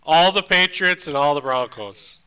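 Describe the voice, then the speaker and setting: A man co-hosting a podcast, easygoing and quick to laugh. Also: fairly high pitch, lively intonation, crowd noise, poor audio. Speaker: podcast co-host. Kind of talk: casual chat.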